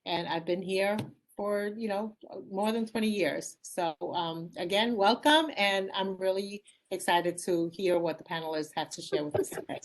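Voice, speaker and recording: A woman's voice: mid-range voice, moderate pace, rough recording. A woman in her forties from the Bronx, introducing herself informally with many fillers. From the Bronx, New York. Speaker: chief diversity officer. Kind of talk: casual panel introduction.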